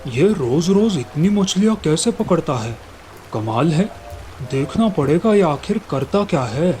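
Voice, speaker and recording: A man voicing a grumpy, lazy young village fisherman with a blustering, exclamatory delivery in an animated fairy tale. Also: a little high, unhurried, faint music behind. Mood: amazed, alarmed.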